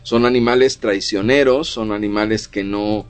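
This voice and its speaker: A man's voice: fairly low pitch, steady tone, normal speed, clean tone, soft background music. A middle-aged man, co-hosting a casual radio talk, explaining at length with many fillers. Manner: explanatory, frequent fillers like "o sea" and "¿no?". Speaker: radio co-host. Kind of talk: casual radio chat on psychology.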